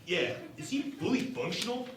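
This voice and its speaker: A man's voice: high-pitched, big pitch swings, moderate pace, fairly bright tone, far from the mic. A man playing a brash, dim, over-eager young ensign in a comedic parody radio play. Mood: eager, suggestive. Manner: eager, goofy. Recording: echoey room, off-mic, faint noise.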